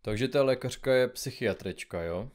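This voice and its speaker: A man playing an impatient, grumpy investigative journalist in an audio comedy drama, with a gruff, curt, colloquial manner. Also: mid-range voice, natural voice. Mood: matter-of-fact.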